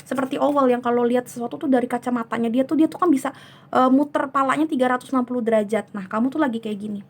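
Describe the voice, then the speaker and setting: A hoarse woman giving a chatty tarot reading, soothing and unhurried, often slipping into English words. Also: high-pitched. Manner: soothing, chatty, many fillers. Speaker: tarot reader.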